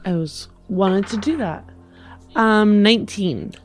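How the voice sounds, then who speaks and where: A woman's voice: medium pitch, slow, soft background music. A woman playing a tabletop role-playing game, chatting casually and thinking aloud with some hesitation. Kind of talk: casual improvised game chat.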